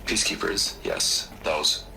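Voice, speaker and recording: A smooth, defensive tycoon. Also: male voice, measured pace, sharp tone. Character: evasive, defensive tech tycoon man.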